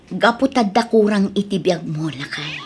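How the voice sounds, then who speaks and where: A woman's voice: mid-range voice, moderate pace, slight background noise, natural voice. Woman acting a stern, nagging Ilocano wife. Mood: reproachful. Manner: scolding, insistent. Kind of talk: Ilocano audio drama acting.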